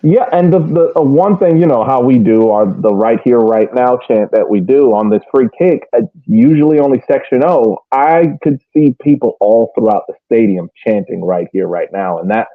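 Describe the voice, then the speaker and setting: A man's voice: medium pitch, moderate pace, hardly any pauses, no room sound, phone-quality sound. A man casually recapping a match as a soccer fan podcast co-host, over thin call audio.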